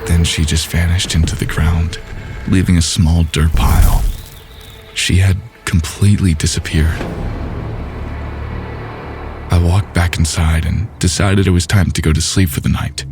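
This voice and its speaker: Deep-voiced man narrating a horror story. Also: fairly even intonation, music in the background. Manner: measured storytelling. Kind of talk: scripted first-person narration.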